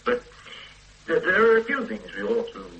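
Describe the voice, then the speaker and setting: Radio play: stern senior cop, mocking, bright and animated. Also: male voice, high-pitched, moderate pace, highs cut off, heavily acted. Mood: lecturing. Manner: lecturing, brusque, mocking. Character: stern middle-aged male police superintendent boss. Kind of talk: 1980s radio drama acting.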